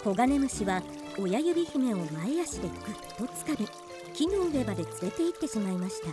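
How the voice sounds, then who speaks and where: A woman's voice: fairly high pitch, sing-song, fairly quick, music in the background. A woman narrating a fairytale radio drama in scripted storybook style.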